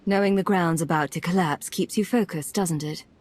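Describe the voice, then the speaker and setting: An actress playing a cool Imperial agent in a video game, controlled and authoritative. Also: female voice, on the low side, normal speed, lightly acted. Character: cool, commanding female Imperial secret agent. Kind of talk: video game dialogue acting.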